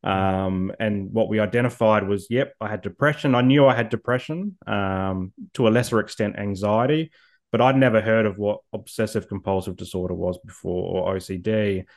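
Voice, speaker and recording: A man's voice: lowish voice, moderate pace. A man in his forties, speaking reflectively as an interview guest, with frequent ums and repeated words. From Australia. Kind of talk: personal story in an interview.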